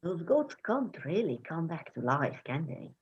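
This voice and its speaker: Hungry, sheepish farm lad, played by a woman, low and husky, slightly muffled recording. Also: sing-song, unhurried, exaggerated voice. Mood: hungry, musing. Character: greedy, ever-hungry peasant boy, sheepish. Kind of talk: amateur dramatic reading of a myth.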